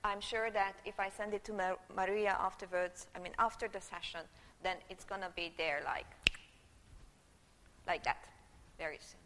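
Bright-voiced Hungarian woman lecturing in a hall. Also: mid-range voice, fairly even intonation, moderate pace, pauses often, a little hiss or hum. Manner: chatty, checks in with the audience often. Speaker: university lecturer. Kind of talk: live university lecture. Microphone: room microphone. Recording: long hall reverb.

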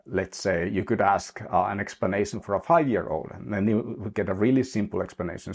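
Deep voiced guy doing a screen share tutorial, lots of uhs and restarts. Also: darkish tone. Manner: hesitant, many restarts and uh fillers.

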